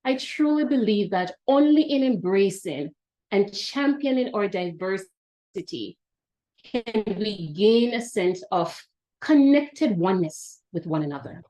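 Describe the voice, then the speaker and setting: An older woman with a Jamaican accent delivers a prepared, uplifting address from a video call. She speaks at an unhurried pace in a middle pitch, and she stresses her phrases one by one with measured emphasis. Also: lively intonation, warm tone, poor audio. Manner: slow, deliberate and emphatic, with repeated phrases. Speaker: institute leader giving a welcome address. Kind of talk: prepared inspirational speech.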